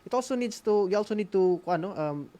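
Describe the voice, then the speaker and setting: A man's voice: high voice, animated, fast, dark tone. A man with a Visayan Filipino accent gives informal advice, switching between languages. His delivery is hesitant, with frequent searching for the right word.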